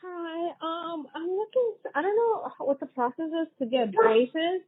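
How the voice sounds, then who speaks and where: A woman's voice: very high voice, unhurried, no echo. A woman calling with a casual inquiry, hesitant and unsure, over the phone. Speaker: caller, prospective patient. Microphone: telephone. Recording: narrow, tinny phone line, dry.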